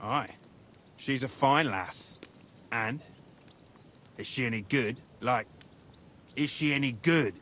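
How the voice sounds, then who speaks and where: An actor playing a nosy, bawdy young soldier in a medieval game, talking in a teasing, colloquial way. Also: male voice, mid-range voice, slow pace, long pauses, fairly bright tone, a little hiss or hum. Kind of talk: video game cutscene acting.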